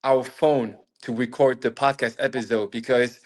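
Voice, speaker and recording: A man's voice: medium pitch, fairly even intonation, normal speed, a little mellow. A man hosting a podcast and talking to his guest on a phone, enthusiastic and admiring, and he laughs easily. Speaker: podcast host. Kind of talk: casual podcast interview.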